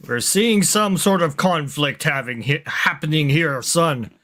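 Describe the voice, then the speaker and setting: A man's voice: a little high, lively intonation, measured pace, a bit bright. A man playing a tabletop game, narrating his character in third person and speaking some lines in role. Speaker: tabletop roleplay player.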